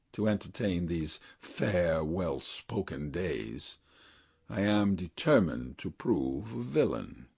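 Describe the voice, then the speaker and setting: Guy acting bitter deformed shakespeare villain, husky, slow, plotting and full of self-loathing. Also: deep voice, darkish tone, no echo, exaggerated voice. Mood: scheming.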